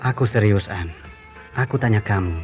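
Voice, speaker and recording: A man acting a persistent doctor suitor in a radio drama, earnest and probing in a low voice. The recording is muffled, with music underneath. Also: normal speed, a little mellow, natural voice. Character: earnest, persistent male doctor courting a colleague.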